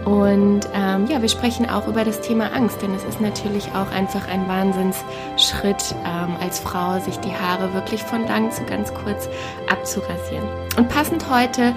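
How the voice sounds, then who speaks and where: Enthusiastic female podcast host. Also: mid-range voice. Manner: enthusiastic, many fillers. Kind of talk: casual podcast interview. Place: cozy living room.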